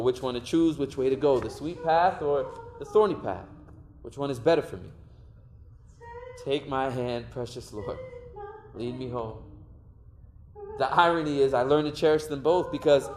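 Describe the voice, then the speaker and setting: A man's voice: medium pitch, a little mellow, close to an everyday voice. Young male actor as a reflective young man, mid-range voice, earnest stage monologue, faint hiss. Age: young adult. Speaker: lead actor in a stage play.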